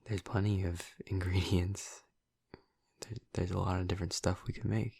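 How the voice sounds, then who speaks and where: Guy doing a soft flirty vampire for audio rp, gravelly fry, dry close sound, earnest and hesitant. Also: sits low, flat delivery, no room sound, exaggerated voice. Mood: warm, inviting. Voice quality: breathy, gravelly murmur. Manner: soft, hesitant, halting, reassuring. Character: gentle, flirty male vampire, tender and earnest. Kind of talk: intimate audio roleplay addressed to the listener.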